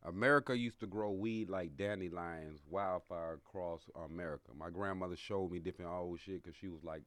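Low-pitched middle-aged man, dry sound. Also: a little fast, fairly bright tone, no room sound. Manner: slangy, rambling. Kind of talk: casual chat, storytelling.

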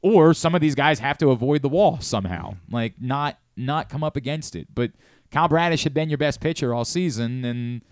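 Man, sports radio host, crisp voice, dry studio sound, casual analysis, emphatic rephrasing. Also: mid-range voice, expressive, moderate pace, no echo.

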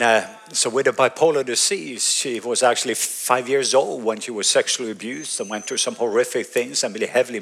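A middle-aged Norwegian pastor preaching in English with a Norwegian accent, telling a story with frequent laughs and halting restarts. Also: male voice, medium pitch, fairly bright tone. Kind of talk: sermon.

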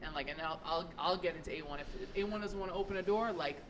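A young adult woman chatting casually as a podcast guest, telling stories in an animated, quick way. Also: lowish voice, noisy background. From New York. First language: Italian. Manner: animated storyteller, quick asides.

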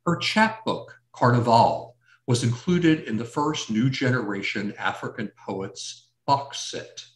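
Man hosting an event, formal introduction, set back from the mic in a roomy hall. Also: medium pitch. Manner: reads a list of credits with a slip. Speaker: host introducing a speaker. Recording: echoey room, mic at a distance.

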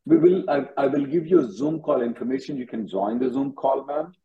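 A man's voice: mid-range voice, brisk, muffled recording. A man hosting an advisory call-in as an attorney, directive and given to repeating himself. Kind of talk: advisory call-in question and answer.

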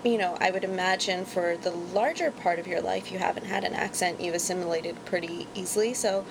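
A woman with a gravelly, fry-edged voice talks frankly and casually, laughing now and then as she co-hosts a podcast. She was born in Pakistan. The recording is noisy, with a low rumble underneath. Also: fairly even intonation, a little fast. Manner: candid, chatty, laughs while talking. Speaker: podcast co-host. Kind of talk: casual conversation.

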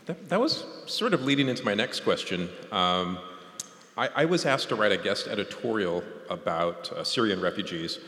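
Male onstage interviewer, slightly low voice with lively inflection, informal and joking, in a reverberant hall. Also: normal speed. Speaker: interviewer and moderator, fellow author. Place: large concert hall stage. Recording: echoey concert hall with long reverb.

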